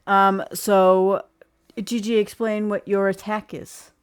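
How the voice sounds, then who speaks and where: A woman running a tabletop roleplaying game as game master, explaining rules in a halting way. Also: mid-range voice, little pitch movement, clear, resonant tone.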